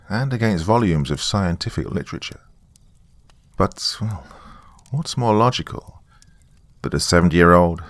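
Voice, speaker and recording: A man's voice: sits low, dry recording. A man giving a dramatic first-person reading of a scripted story. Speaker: horror story narrator.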